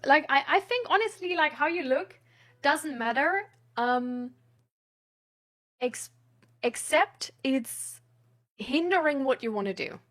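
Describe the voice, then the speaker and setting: A woman with a highish voice talks casually as a live streamer. She rambles along in loose, hesitant phrases padded with filler words, with a giggly streak as she responds to her viewers. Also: expressive, slow pace, pauses often, fairly bright tone. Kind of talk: casual chat with viewers, reading out their messages.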